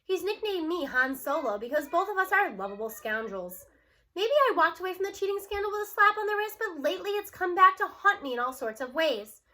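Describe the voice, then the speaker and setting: A woman narrates a story aloud in the first person. Her voice is high and bright, and her reading is expressive. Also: a little fast.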